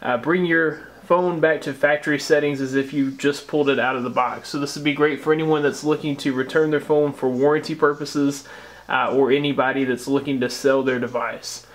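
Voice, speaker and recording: A man's voice: medium pitch, fairly even intonation, a little fast. An American man narrating a casual step-by-step tutorial as a tech host, explaining things informally.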